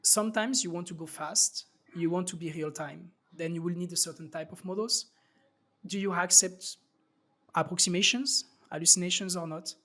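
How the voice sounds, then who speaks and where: A man's voice: high-pitched, normal speed, bright, forceful tone. A man from France with a French accent, a startup founder talking loosely as an interview guest with many fillers and restarts. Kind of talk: casual interview talk.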